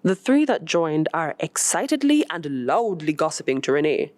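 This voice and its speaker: Female story reader, low pitch, animated intonation, measured literary narration, dry close sound. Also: no echo. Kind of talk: scripted narration of literary fiction.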